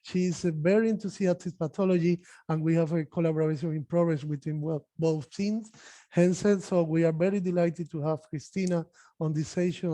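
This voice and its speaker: A man chairing an online session and introducing the next speaker, a native Spanish speaker with a halting manner. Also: fairly high pitch, warm tone, dry recording.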